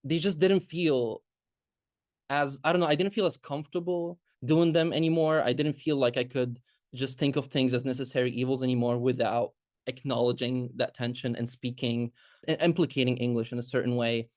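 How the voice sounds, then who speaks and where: Male editor for a roundtable, medium pitch, searching and earnest delivery, dry sound. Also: steady tone, normal speed, a little mellow, dry recording. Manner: earnest, searching, reflective with many restarts. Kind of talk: roundtable discussion.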